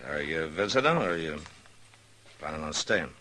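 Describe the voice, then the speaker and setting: An actor playing a stern, laconic western marshal in a radio drama, terse and dry. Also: male voice, extremely low pitch, lively intonation, brisk, sharp tone, full character voice. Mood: guarded, calm. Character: stern, laconic Old West marshal, weary and guarded. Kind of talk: 1950s radio western acting.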